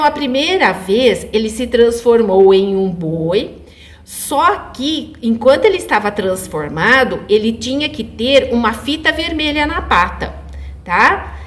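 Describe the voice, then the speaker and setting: A woman with a Brazilian Portuguese accent telling a fairy tale to children in an informal, conversational way, often checking in with her listeners. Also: fairly high pitch. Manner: conversational, addresses listeners with frequent check-in tags. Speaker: children's storyteller.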